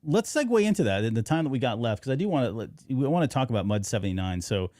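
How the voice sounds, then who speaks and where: A man's voice: medium pitch, very animated, fast talker, darkish tone, no room sound, studio quality. A man hosting a podcast in a casual, conversational fan-chat style. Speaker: podcast host.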